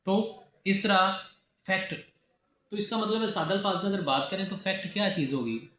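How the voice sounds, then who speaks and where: A man's voice: sits high, animated, moderate pace, pushed and bright. A man from Pakistan with a Pakistani accent, giving a didactic law lecture, repeating key definitions and posing rhetorical questions. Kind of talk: classroom lecture mixing Urdu with English terms. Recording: echoey room with faint background noise.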